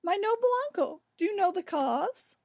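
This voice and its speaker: A woman playing a concerned, gentle young male cousin in a Shakespearean drama, with an earnest, deliberate delivery. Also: very high-pitched, animated.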